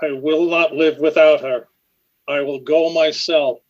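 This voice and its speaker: A male actor playing an earnest, protective robot in a radio drama, measured and formal. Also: fairly high pitch, fairly even intonation, muffled recording, natural voice. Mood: defiant. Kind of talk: old-time radio drama acting.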